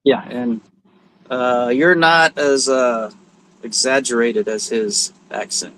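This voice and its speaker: A man's voice: medium pitch, steady tone, slow, a bit bright, low-quality recording. A man co-hosting a livestream from another channel, giving easygoing, brief replies in casual chat.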